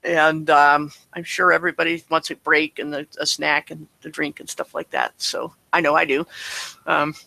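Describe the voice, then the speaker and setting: A man in his late fifties leading an online Bible study, talking in a relaxed, rambling way. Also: on the high side, moderate pace, vocal fry, no echo, low-quality recording. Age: 50s. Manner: relaxed, rambling, chuckles often. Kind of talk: casual online group chat.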